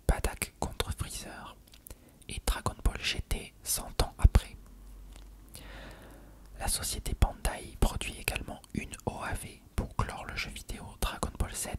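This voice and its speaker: An ASMR narrator reads an encyclopedia-style article entirely in an unvoiced whisper.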